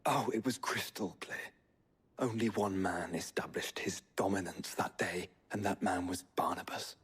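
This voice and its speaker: A man acting a proud, troubled nobleman in a fantasy video game, with a husky, breathy voice and formal phrasing. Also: low-pitched, moderate pace. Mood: bitter.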